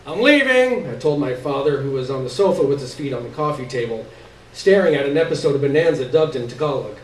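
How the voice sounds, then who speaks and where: A man's voice: mid-range voice, fast talker, darkish tone. Man reading a story in an echoey room. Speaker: reader of a short story. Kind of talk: live dramatic reading of first-person literary fiction. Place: live stage reading in a roomy space. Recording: echoey room, distant mic, background noise.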